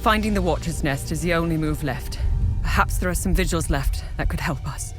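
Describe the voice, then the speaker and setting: An actress playing a weary, injured journalist in a video game, frank and earnest, wary but helpful. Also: female voice, deep voice, a little fast, music in the background, natural voice. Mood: weary, resigned. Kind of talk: naturalistic video game dialogue acting.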